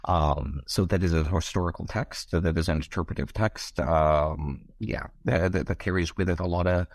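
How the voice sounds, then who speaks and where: A man's voice: low-pitched, fairly even intonation, normal speed, no room sound. A middle-aged man from Egypt, a scholar being interviewed, speaking in wordy, hesitant academic phrasing.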